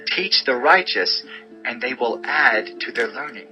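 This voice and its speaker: Forceful, sharp-voiced male scripture narrator. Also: medium pitch, lively intonation, a little slow, low-quality recording. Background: music bed.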